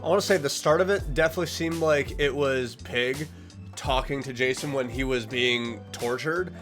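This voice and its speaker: A man's voice: medium pitch, normal speed, over music. A man giving casual reaction commentary as the host of a video.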